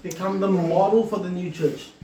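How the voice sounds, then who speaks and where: A man who leads a church, giving an informal sermon in a deliberate way and repeating his phrases. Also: sits high, steady tone. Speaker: church leader. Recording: echoey, distant, noisy room recording.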